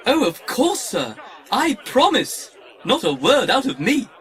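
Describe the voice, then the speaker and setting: An actor playing a fussy, haughty, malfunctioning protocol droid in an audio drama, with a prissy, pompous, theatrical delivery. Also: male voice, very high voice, huge pitch swings, unhurried, over a noisy crowd, heavy background noise, exaggerated voice. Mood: falsely polite. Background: music.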